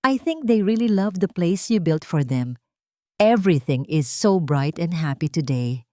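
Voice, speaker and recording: A woman's voice: lowish voice, measured pace, dry recording, studio quality. A woman with a Filipino accent voicing a cheerful, affectionate little girl in an audio story. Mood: cheerful, delighted. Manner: lively, affectionate.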